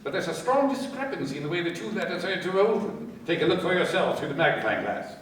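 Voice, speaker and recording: A man's voice: high-pitched, sing-song, fast talker, fairly bright tone. Man acting a methodical inspector in an echoey room. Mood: pensive, probing. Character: methodical, cautious male London police inspector. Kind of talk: radio play acting. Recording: very echoey, distant, with background noise.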